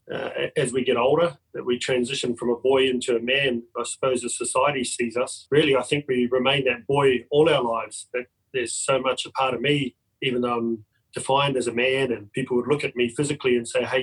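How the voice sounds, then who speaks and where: A man's voice: medium pitch, little pitch movement, fairly quick, breathy edge, low-quality recording. A man from New Zealand with a New Zealand accent, a podcast guest talking in an upbeat, heartfelt way. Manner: upbeat, heartfelt, laughs easily. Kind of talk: casual conversational interview.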